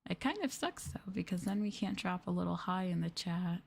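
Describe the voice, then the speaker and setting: A woman streamer chatting softly in an ASMR livestream style, gentle and hesitant, sometimes drifting into a whisper. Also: lowish voice, animated, brisk, gravelly, slight background noise. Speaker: streamer, VTuber host.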